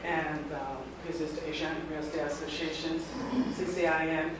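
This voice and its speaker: A middle-aged woman from Taiwan giving an informal talk to a student group, speaking in halting phrases that often restart. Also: low-pitched, flat delivery. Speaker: guest speaker. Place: lecture room. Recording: very echoey room, mic far off, background noise.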